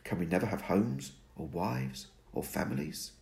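Man putting on a young boy voice, pitched up from a deep gravelly one, blurts stuff. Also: lively intonation, normal speed, a little mellow. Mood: sulky. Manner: raised, strained pitch, blurting. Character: young boy, the vampire's sullen assistant.

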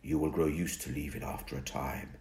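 A man voicing an ancient, weary male vampire mentor who talks slowly and formally. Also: extremely low pitch, flat delivery, a little mellow. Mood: gloomy. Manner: slow, formal, dry.

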